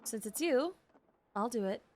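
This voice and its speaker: A woman gives casual, expressive reactions as she streams a game. Her voice is on the high side and very lively in pitch. Faint music runs underneath. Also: unhurried. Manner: expressive, short exclamations.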